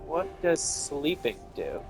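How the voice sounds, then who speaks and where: A man's voice: on the high side, wildly swooping pitch, slow, music in the background. A man giving casual gameplay commentary as host, thinking aloud and speculating as he goes. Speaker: gamer host of a gameplay video.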